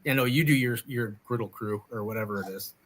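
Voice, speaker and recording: A man's voice: mid-range voice, fast, slight background noise. A man co-hosting a casual livestream chat show, joking and self-deprecating in banter with his co-host.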